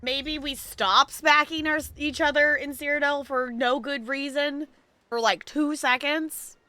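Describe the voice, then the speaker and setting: Bright, higher-voiced woman streaming. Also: fairly even intonation, unhurried, dry recording. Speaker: video game live streamer. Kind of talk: casual livestream chat over gameplay.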